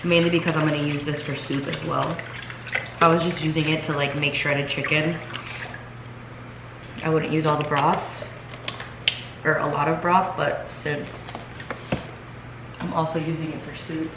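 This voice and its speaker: A woman's voice: sits low, level tone, normal speed, long pauses. A young woman talking casually to her viewers in a chatty vlog, sounding congested from illness and using lots of fillers. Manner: chatty, filler-heavy, talks straight to viewers. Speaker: vlogger. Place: home kitchen, then seated at home. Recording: echoey room with noticeable background noise.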